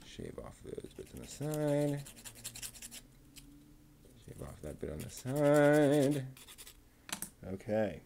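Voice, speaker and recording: A man's voice: a little high, sing-song, slow, creaky, pauses often, dark tone, a little hiss or hum. A man building a model kit on a live stream, muttering to himself and chatting casually with viewers as he works.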